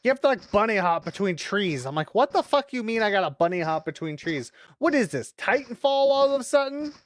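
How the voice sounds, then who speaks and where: A woman's voice: medium pitch, very animated, fairly quick, dry recording, clean studio sound. A woman streaming a video game, commenting casually with a lot of exasperated swearing and exclaiming. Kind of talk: casual live gameplay commentary.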